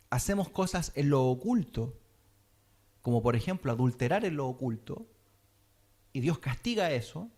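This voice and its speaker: A man's voice: a little high, darkish tone. Teacherly man preaching. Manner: teacherly, checks in with listeners often. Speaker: teacher of a Messianic congregation. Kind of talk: sermon teaching.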